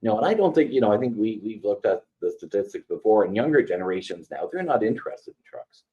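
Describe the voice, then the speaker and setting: Man, low voice, podcast co-host, measured and hedging, lots of fillers, dull lo-fi audio. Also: sing-song, mellow. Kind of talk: casual industry chat.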